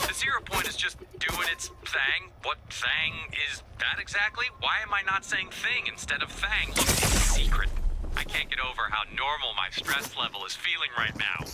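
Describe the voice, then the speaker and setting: An actor playing an anxious, sarcastic video game scientist who rambles in wry asides and feigns calm. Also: male voice, a little high. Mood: nervous, feigned calm. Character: anxious, sarcastic, fast-thinking male scientist. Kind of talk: video game character voicelines.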